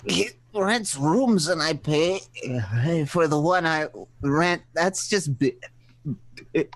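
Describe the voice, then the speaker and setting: A man acting a flustered, stammering young pool player in a comedic radio play. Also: a little high. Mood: flustered, halting. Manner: halting, stammering, drawn-out delivery.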